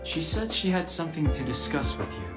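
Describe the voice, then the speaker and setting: A man's voice: on the high side, big pitch swings, brisk. A man voicing a reserved, cautious young crewmate in a game dub, speaking carefully. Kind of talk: English game dub voice acting. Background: loud game music.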